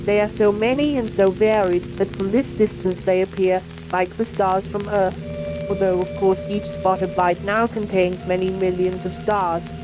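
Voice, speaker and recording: A woman's synthetic AI voice giving scripted educational science film narration in a measured, explanatory manner. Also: medium pitch, fairly even intonation, low-quality recording. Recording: music playing under the voice. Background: music.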